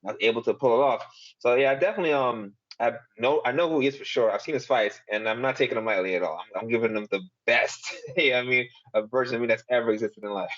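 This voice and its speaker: A young man talks fast and loose in an interview, tumbling through fillers and quick laughs. His voice sits at a middle pitch. The audio is dull and lo-fi, like a remote call. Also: a bit bright. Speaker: MMA fighter. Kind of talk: casual interview answers.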